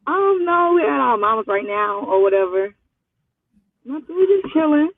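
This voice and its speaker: A woman's voice: high voice, sing-song, normal speed. A woman in her twenties calling in, chatting casually in a flustered, evasive, slangy way. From Louisiana. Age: 20s. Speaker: caller. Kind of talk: casual phone chat. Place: phone call from a parked car. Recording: muffled, phone-like call audio.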